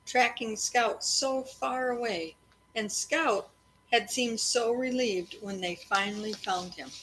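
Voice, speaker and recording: Female read-aloud storyteller, mid-pitched, conversational with young listeners, some background noise. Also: a bit bright, rough recording. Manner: breaks off to address and question children.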